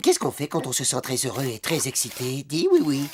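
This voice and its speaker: A woman's voice: rumbling low, huge pitch swings, fairly quick, darkish tone, faint music behind, full character voice. A woman voicing a polite, naive, earnest little robot in a children's cartoon, with a formal, sing-song, curious way of talking. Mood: thrilled.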